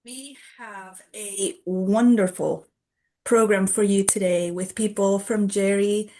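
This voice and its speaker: A woman hosting an online event, announcing the program and thanking helpers in a measured way over a video call. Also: medium pitch, fairly even intonation, warm tone. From Costa Rica. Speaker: trainer and co-host.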